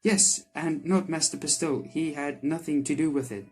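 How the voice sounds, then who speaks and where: A man's voice: a little high, fairly even intonation, normal speed, darkish tone, slightly rough. A man voicing a naive, literal-minded candy golem girl in a video game, with stiff, formal phrasing and music behind. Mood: anxious, earnest. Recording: background music under the voice.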